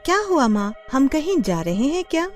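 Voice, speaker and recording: Woman doing a high-pitched small child. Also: big pitch swings, normal speed, a little mellow, soft background music. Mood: curious. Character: small child, wide-eyed and anxious.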